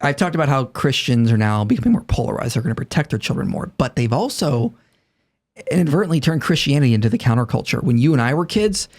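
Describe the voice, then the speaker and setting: A man's voice: mid-range voice, big pitch swings, fast, darkish tone. Earnest man in his thirties explaining. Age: 30s. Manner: earnest, explanatory, chuckles often. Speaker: podcast guest. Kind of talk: casual conversational chat.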